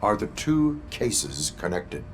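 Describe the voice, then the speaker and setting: An actor playing a stoic male cyborg police officer in a video game, terse, measured and deadpan. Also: fairly low pitch, slight background noise. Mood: flat, dutiful.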